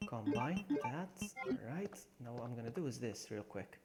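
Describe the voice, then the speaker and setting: A man's voice: mid-range voice, animated, moderate pace. A young man streaming a video game, chatting casually with viewers, laughing often and muttering to himself as he plays. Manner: chatty, laughs often, reads and answers chat, mutters to himself while playing. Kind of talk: casual live-stream gameplay commentary. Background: game music.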